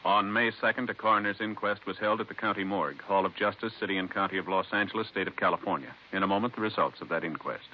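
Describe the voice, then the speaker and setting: A man's voice: on the low side, fairly even intonation, fast, hardly any pauses, slight background noise. A male radio announcer reading formal scripted narration in 1950s style. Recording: dull old radio recording with music.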